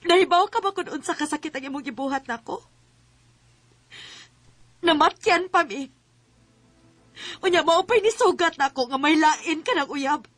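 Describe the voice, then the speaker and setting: A woman's voice: sits high, expressive, moderate pace, pauses often, fairly bright tone, dry recording. A woman playing a tender, aggrieved young woman in a Philippine radio drama, with an emotive, pleading delivery.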